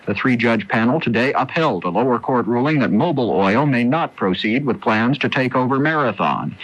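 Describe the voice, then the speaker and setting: A man's voice: mid-range voice, a bit bright, no echo. Male American news anchor, scripted delivery, mid-range voice, very dry studio sound through muffled old radio. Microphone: close dry studio broadcast mic. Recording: dull vintage AM radio recording.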